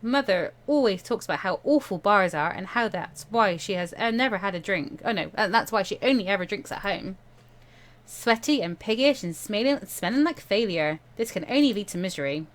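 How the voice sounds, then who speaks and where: A woman hosting a let's play, reading a visual novel aloud in an expressive, playful, dramatic way. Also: mid-range voice, normal speed.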